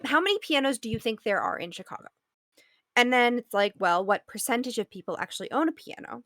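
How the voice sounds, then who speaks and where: A woman's voice: mid-range voice, fairly bright tone, no echo, studio quality, natural voice. A woman acting an affectionate, teasing wife in a naturalistic audio drama table read, conversational and playful. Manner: conversational, playful, explains with examples. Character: affectionate, teasing wife consoling and humoring her partner.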